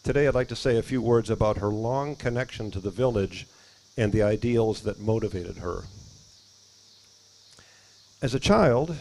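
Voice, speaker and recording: A man speaking at an outdoor ribbon cutting, reading measured, prepared remarks about his family. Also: medium pitch, vocal fry, dark tone, rough recording. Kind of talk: prepared ceremony speech. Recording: faint outdoor noise with crickets.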